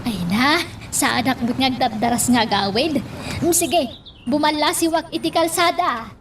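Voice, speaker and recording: Actress as a high-voiced, nosy older neighbour. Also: female voice, normal speed, background noise, lightly acted. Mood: helpful, nosy. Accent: Ilocano. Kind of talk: Ilocano radio drama acting.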